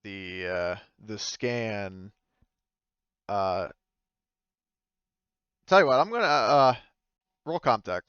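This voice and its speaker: A man running a tabletop game as game master, narrating casually and haltingly as he searches for his words. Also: lowish voice, expressive, fairly bright tone, clean tone, no room sound, lo-fi sound.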